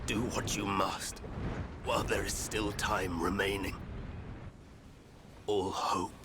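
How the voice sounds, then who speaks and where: Slow, weakened noble man. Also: steady tone. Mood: urgent resolve. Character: dying, noble, dutiful elder brother. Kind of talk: dramatic video game acting. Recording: loud battle rumble and explosions.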